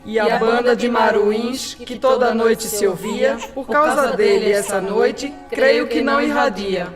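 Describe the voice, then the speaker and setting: A woman's voice: on the high side, unhurried, lightly acted. Actress as an echoing, joyful village woman celebrant. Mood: celebratory. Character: villager reciting joyful, reverent praise of a newborn. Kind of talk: chanted verse in an animated film. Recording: echoey, distant, under loud bell-like music.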